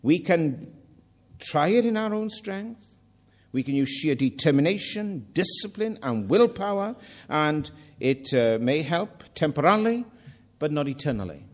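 A man's voice: a little high, big pitch swings, unhurried. An older man with a Welsh accent preaching a sermon, earnest with self-mocking humour. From Wales.

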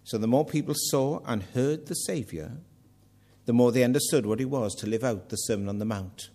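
A man's voice: mid-range voice, normal speed, warm tone. An older man with a Welsh accent preaching a sermon, earnest with self-mocking humour. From Wales.

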